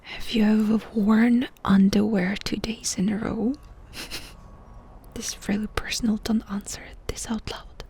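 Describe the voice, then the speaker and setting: Asmr girl whispering questions real slow, super breathy, up close personal attention type roleplay. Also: mid-range voice, little pitch movement, warm tone. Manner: intimate, unhurried questioning.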